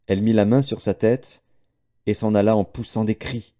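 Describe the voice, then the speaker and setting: A man reading scripture aloud as scripted audiobook narration, with a dark, mellow tone. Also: fairly low pitch, steady tone, normal speed. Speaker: audiobook Bible reader.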